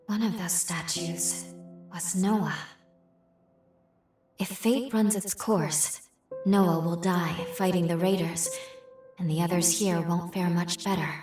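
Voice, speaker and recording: An actress playing an earnest, purposeful female companion with time-travel powers in a video game, composed and deliberate. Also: fairly low pitch, steady tone, darkish tone, reverberant, recorded from a distance, faint music behind. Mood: grave. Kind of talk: video game cutscene acting.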